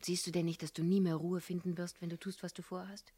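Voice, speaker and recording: A woman's voice: lowish voice, level tone, fast talker, mellow, slightly rough. An actress playing an earnest, determined young woman in a German radio crime drama, speaking intimately and insistently. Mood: earnest pleading.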